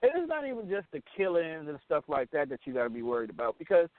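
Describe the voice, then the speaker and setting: A man's voice: a little high, huge pitch swings, quick pace, no echo. An American man, middle-aged, talking casually and candidly as a radio show host, blunt and emphatic, often repeating his phrases. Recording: tinny, phone-like band-limited audio.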